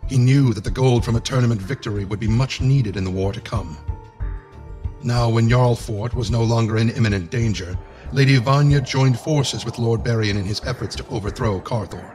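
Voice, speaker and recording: A man's voice: medium pitch, normal speed, darkish tone, faint music behind, natural voice. A man narrating a medieval fantasy game as a solemn storyteller, in scripted style.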